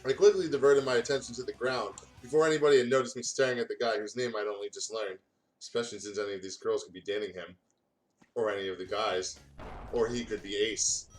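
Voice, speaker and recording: A man's voice: on the low side, steady tone, fast, soft background music, rough recording. A man reads fan fiction aloud in a casual way, stumbling over words and breaking off for joking, sweary banter. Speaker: guest reader on a gaming video.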